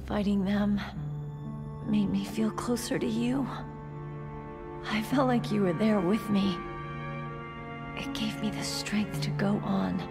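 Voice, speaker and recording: A woman playing a wounded young soldier in a video game, tough yet tender, speaking haltingly and emotionally. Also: medium pitch, fairly even intonation, gravelly. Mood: tearful tenderness. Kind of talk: dramatic video game cutscene acting. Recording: music behind the voice.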